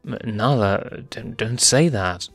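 A man's voice: fairly low pitch, takes it slowly, husky, no echo, soft background music, exaggerated voice. A man voicing a playful, hapless young male lion cub in an amateur comic dub, stammering and hesitant. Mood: sheepish, dejected. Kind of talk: amateur comic fandub acting.

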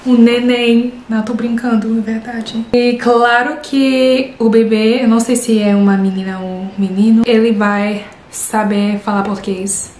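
A young woman from Canada speaks learned Portuguese, upbeat and chatty. Her voice sits a little high. She talks on a couch through earphone mic, the living room sounding roomy with a faint hiss. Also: flat delivery, a little slow, hardly any pauses, a little mellow. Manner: upbeat, chatty, friendly asides. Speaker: reaction video host. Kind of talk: casual chat to viewers. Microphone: earphones with inline mic.

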